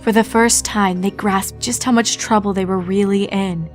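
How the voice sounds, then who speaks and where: A woman reading a fan story aloud in a steady, measured narration. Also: medium pitch, faint music behind. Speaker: reader.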